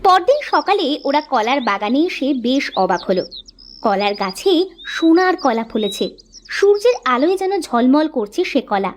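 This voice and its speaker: A woman's voice: high-pitched, big pitch swings, measured pace, faint music behind. A woman giving scripted narration of a children's moral story.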